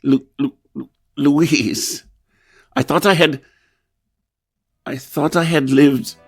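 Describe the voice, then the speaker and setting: An actor playing a tormented amnesiac composer in an asylum in a radio drama, halting and theatrical. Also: male voice, a little high, steady tone, husky, full character voice. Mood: haunted, groping. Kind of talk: old-time radio drama acting.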